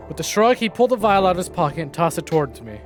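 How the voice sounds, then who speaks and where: A male streamer reading a visual novel aloud with dramatic, expressive narration. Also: high voice, racing. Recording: game music playing behind.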